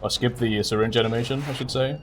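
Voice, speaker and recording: A man's voice: mid-range voice, steady tone, fast talker, slight background noise. A man giving casual live gameplay commentary as a speedrunner, muttering and thinking aloud. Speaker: speedrunner streaming a video game.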